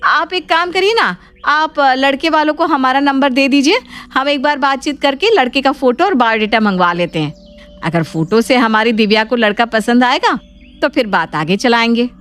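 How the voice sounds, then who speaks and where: A woman's voice: sits high, normal speed, fairly bright tone, voice pushed up. A woman voicing a polite, reasonable middle-aged mother arranging a match in a cartoon family story. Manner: courteous, reasonable.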